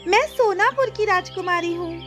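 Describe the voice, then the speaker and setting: A woman's voice: extremely high pitch, moderate pace, a bit bright. A woman voicing a naive, bossy young princess who ran away, in a cartoon story. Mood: haughty, commanding. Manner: imperious, childlike. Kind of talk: Hindi cartoon moral story dialogue. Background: music.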